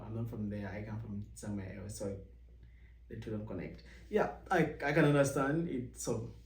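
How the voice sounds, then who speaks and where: A young man from Uganda talks through his experiences in a relaxed, rambling way, often restarting his sentences. His mellow voice sits a little back from the mic in an echoey room, and it jumps higher whenever he mimics someone asking him a question. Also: far from the mic. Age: young adult. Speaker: vlog host. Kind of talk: casual personal storytelling.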